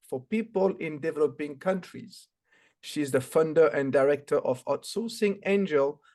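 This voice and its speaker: A man from France hosting a business podcast, reading a scripted intro and then asking relaxed interview questions. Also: a little high. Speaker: podcast host.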